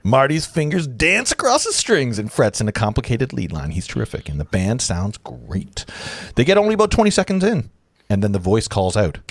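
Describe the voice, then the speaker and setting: A man's voice: mid-range voice, huge pitch swings, moderate pace, dry recording. A man reading screenplay directions as the narrator of a table read, informally, with casual asides.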